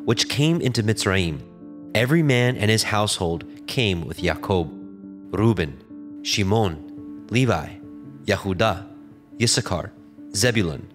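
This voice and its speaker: A man narrating scripture from a script in a solemn, measured way. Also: lowish voice. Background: music.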